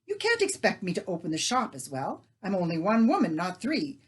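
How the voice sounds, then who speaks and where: A woman voicing a fussing, talkative middle-aged baker's wife who rattles on in a shrill, bustling way. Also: sing-song, normal speed, breathy edge. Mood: chattering.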